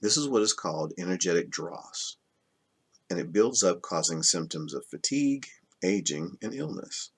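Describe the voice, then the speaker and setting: A man reading spiritual self-help nonfiction aloud in a measured, instructional way. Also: sits low, expressive, slightly rough. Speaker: reader of a self-help book.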